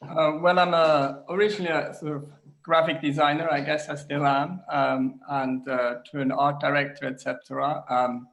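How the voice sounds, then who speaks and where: Man from Switzerland, English speaker, halting speech full of ums, home kitchen, video call. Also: fairly high pitch, flat delivery, vocal fry, fairly bright tone. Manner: hesitant, many fillers and restarts. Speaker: designer, interview guest. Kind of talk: casual video-call interview.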